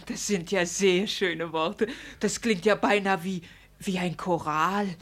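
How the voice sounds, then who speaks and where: An actress playing an old peasant wife in a radio play, sceptical and chiding, given to exclaiming. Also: female voice, fairly low pitch, steady tone, slow, fairly bright tone. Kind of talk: German radio play acting.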